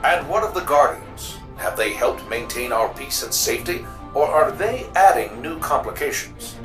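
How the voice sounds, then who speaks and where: A man's voice: medium pitch, sing-song, moderate pace, reverberant, recorded from a distance, over music, natural voice. A man playing a polished city news reporter in a video game, with a formal newsreader delivery. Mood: professional, probing.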